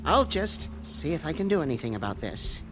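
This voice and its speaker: Timid polite monkey helper from a game, voice bounces around, kinda hesitant, music behind. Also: male voice, fairly high pitch, very animated, fairly quick. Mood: eager, timid. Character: meek, polite, eager-to-help male flying monkey servant. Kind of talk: video game voice acting. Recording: music under the dialogue.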